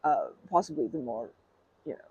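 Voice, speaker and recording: A performer in an ASMR roleplay playing a nervous, polite stranger who flirts shyly in a wordy, hesitant ramble, with a husky, creaky voice. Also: medium pitch, lively intonation, brisk, no echo, highs cut off, exaggerated voice. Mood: nervous, apologetic.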